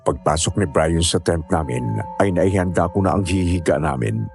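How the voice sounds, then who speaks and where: Deep-voiced man narrating horror. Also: moderate pace, vocal fry, darkish tone, faint music behind. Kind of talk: scripted first-person horror narration.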